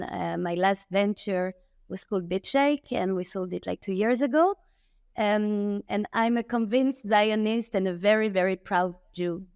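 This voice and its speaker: A woman's voice: mid-range voice, a little mellow, smooth, dry recording, studio quality. A woman in her thirties from France with a French accent, speaking earnestly and emphatically as a guest on a remote interview. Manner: earnest, emphatic, with frequent restarts and "okay?" asides. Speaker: guest, tech entrepreneur.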